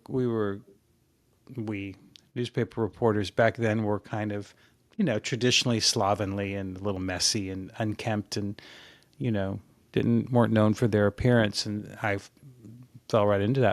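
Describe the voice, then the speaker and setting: A man in his sixties, a podcast guest, talking in a relaxed, rambling way about his fitness habits, often restarting his sentences. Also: on the low side, dry recording. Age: 60s. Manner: rambling and reflective, with frequent restarts. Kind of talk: casual interview chat.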